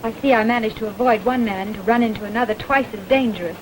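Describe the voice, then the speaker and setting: An actress as a sharp-witted, wary woman from a 1930s movie. She talks fast in a slightly high voice, on an old soundtrack with faint noise. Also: close to an everyday voice, poor audio. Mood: tart, wary. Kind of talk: 1930s film acting.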